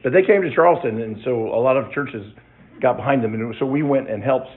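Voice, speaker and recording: A man in his sixties giving a personal testimony to his church, reflective and halting, with many restarts. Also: mid-range voice. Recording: tinny phone-like sound.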